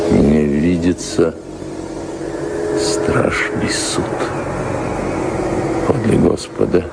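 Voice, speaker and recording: An actor playing a weary, bored lord of a manor, languid and musing, in an audio drama. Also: male voice, deep voice, vocal fry, heavily acted. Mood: solemn, brooding. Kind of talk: theatrical audio drama acting. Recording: very noisy old radio play recording.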